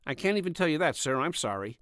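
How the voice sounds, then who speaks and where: A man's voice: fairly high pitch, very animated, fast talker, a bit bright, dry recording, studio quality, pitched above the performer's own voice. Man voicing a shy, earnest young corporal. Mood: evasive, apologetic. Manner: hesitant, polite, murmuring. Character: shy, earnest young male corporal, twenty-six, evasive. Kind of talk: audiobook character dialogue.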